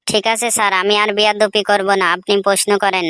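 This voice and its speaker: A woman's voice: medium pitch, little pitch movement, fast, fairly bright tone, clean tone, natural voice. A woman voicing a cheeky, dim-witted job candidate in a comic cartoon, mock-polite and answering back.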